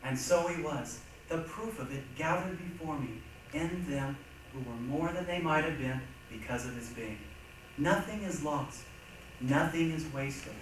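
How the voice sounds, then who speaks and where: A man's voice: a little high, animated, measured pace. A man performing a reader's theatre monologue as a reflective, earnest man recounting his memories. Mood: solemn. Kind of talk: staged dramatic monologue reading. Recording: very echoey, noisy hall, distant mic.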